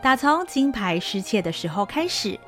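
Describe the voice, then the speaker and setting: A woman narrating a radio drama with an expressive, storytelling delivery. Her pitch moves animatedly through each sentence. Faint music runs underneath. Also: moderate pace.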